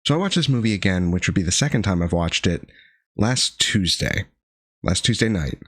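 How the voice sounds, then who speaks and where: A man with a gravelly voice still recovering from being sick, reviewing a horror film with dry sardonic asides and frequent pauses. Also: low-pitched, expressive, a bit bright, no room sound. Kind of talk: casual video review monologue.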